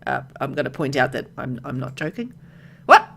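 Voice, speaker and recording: A woman's voice: rumbling low, steady tone, brisk, vocal fry, slightly rough. A woman reading a visual novel aloud as she plays, mixing narration with casual asides.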